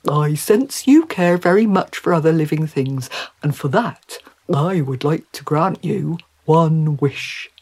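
A kindly gentleman trout from a storybook, acted out by a woman, speaking in a husky voice with lively swoops. He is courteous and old-fashioned, and never hurries his words. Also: low voice, slow, heavily acted. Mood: gentle, generous. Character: polite, kindly male talking trout granting wishes.

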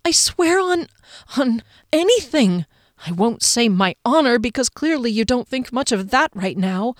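A woman's voice: fairly high pitch, lively intonation, moderate pace, no echo, studio quality, close to an everyday voice. A woman voicing a wounded young swordswoman in an audiobook, breathless and pleading, her voice strained. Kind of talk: audiobook character voice.

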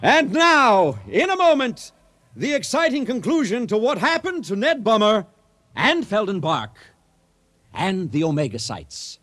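A man's voice: sits high, very animated, a little slow. A man acting as a theatrical, showman-like narrator of a science fiction radio drama. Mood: rousing, showman. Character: folksy, theatrical storyteller presenting a space tale.

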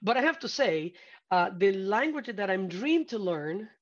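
Brazilian-accented woman, halting and chatty. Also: medium pitch, very animated. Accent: Brazilian Portuguese. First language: Portuguese. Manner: halting, many fillers and restarts, laughs easily. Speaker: guest. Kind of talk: casual interview conversation. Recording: slightly dull lo-fi audio.

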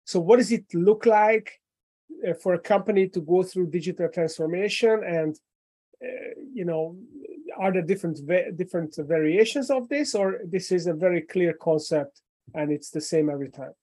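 A woman from Eastern Europe asks her guest questions, rephrasing as she thinks. Her voice is warm and mellow, with no bright edge. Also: fairly low pitch, normal speed, breathy edge. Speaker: interviewer. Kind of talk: interview questions.

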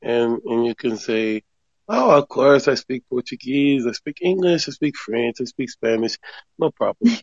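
A man hosting a podcast who asks questions in a measured, encouraging way. His voice sits at an ordinary pitch. The recording is dry, with little room sound, and a bit dull. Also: animated, dry recording. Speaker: podcast host. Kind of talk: casual interview.

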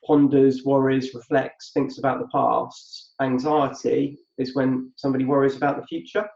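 A man's voice: medium pitch, level tone, gravelly, darkish tone. A middle-aged man talking reflectively as an interview guest, often repeating words while he gathers his thoughts. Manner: halting, repeats words while thinking aloud. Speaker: podcast guest. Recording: dull roomy sound from off-mic.

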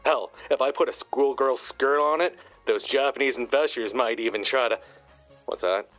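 An actor playing a brash, crass and cocky tech tycoon with a glib, dismissive manner in a comedy audio drama. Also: male voice, medium pitch, moderate pace, fairly bright tone, soft background music, natural voice. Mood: glib, flustered. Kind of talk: comedy audio fiction acting.

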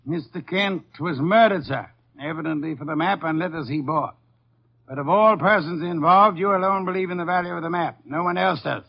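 An actor playing an imperious, cerebral master detective in a 1950s radio drama, speaking formally and precisely. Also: male voice, a little high, a little fast, gravelly, husky. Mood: accusing. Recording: muffled vintage 1950s radio recording.

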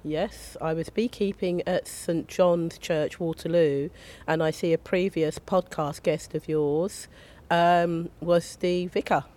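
A woman recovering from flu, her voice lower than usual, chatting casually as a guest in an outdoor community garden, sharing one small microphone. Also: steady tone, slow, a little mellow. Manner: chatty, rambling, with little laughs. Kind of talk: casual chat. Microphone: sharing one small microphone with the host.

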